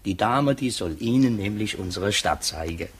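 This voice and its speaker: Husky, chatty prison warder. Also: male voice, on the low side, expressive. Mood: amused. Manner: halting, folksy, rambling, confiding. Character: chatty prison warder, good-natured, confiding, simple family man. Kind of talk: radio drama acting.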